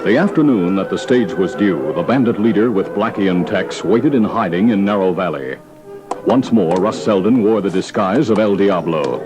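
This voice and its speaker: A man giving formal, dramatic scripted narration in the style of old-time radio drama. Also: low-pitched. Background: music.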